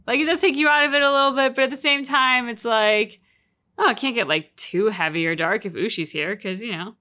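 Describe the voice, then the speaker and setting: A woman's voice: fairly high pitch, fairly quick, bright, forceful tone. A woman casually talking through her reactions to a game as a reaction show host.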